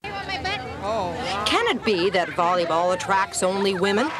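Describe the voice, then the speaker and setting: A woman's voice: medium pitch, expressive, slow pace, over a noisy crowd, very noisy. A woman with an American accent narrating a feature news report in a playful, wry way.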